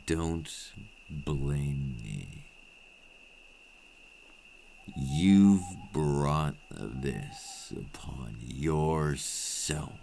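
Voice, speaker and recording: A man playing a menacing, seductive vampire captor in an intimate ASMR roleplay, cruel, patient and taunting, with slow, silky threats close to the mic. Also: animated, mellow, slight background noise, heavily acted. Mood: forceful threat, then savoring, taunting. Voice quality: very deep, gravelly. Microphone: close microphone.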